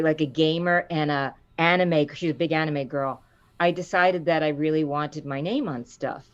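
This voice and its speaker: A middle-aged woman with a low voice, a voice actor chatting in an interview and acting out the quotes in her stories. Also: muffled recording. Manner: animated storyteller, acts out quotes with rising pitch. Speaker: voice actor guest. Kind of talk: casual interview chat.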